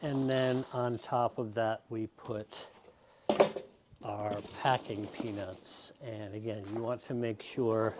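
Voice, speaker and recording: A man's voice: fairly low pitch, steady tone, slow, background noise. A man giving a casual, patient step-by-step how-to demonstration, explaining as he goes. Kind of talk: casual step-by-step tutorial talk.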